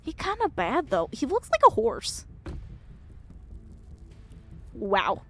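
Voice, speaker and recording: High-voiced, expressive woman game streamer. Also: slow pace. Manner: excitable, giggly, expressive. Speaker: streamer playing and reading a visual novel. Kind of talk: live game commentary, reading dialogue aloud.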